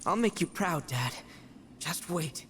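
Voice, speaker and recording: A man's voice: on the high side, expressive, slow, background noise. An actor voicing a proud young male beast in a video game, earnest and boyish. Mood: resolute. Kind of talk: video game character acting.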